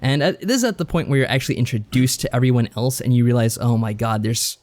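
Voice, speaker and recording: A man's voice: medium pitch, quick pace, a little mellow. A man co-hosting a podcast, recapping a story in casual chat with a rambling, wry delivery. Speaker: podcast co-host.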